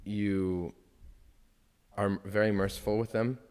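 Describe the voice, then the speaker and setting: A young man with a low voice talks earnestly on a casual podcast, reflecting on ideas and sometimes acting out other people's words. His voice carries a long reverb from the room. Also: level tone, unhurried, darkish tone, clear, resonant tone. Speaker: podcast co-host.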